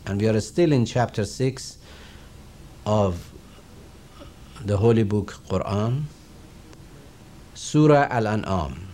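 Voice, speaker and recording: A middle-aged man with a Persian accent teaches and explains scripture in a slow, measured, reverent manner. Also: on the low side, dark tone, slight background noise. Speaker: Quran teacher. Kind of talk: recorded religious lecture.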